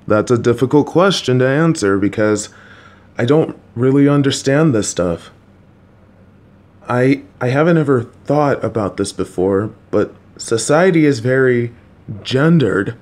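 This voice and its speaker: Casting: a man playing a middle-aged dad, awkward and kind, unhurried delivery. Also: fairly low pitch. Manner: slow, halting, warm dad jokes. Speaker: voice actor playing a father. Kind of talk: audio roleplay.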